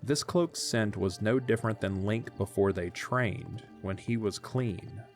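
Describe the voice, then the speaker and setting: A man's voice: on the low side, measured pace, faint music behind. A man narrating a scripted story as the narrator of an audio drama.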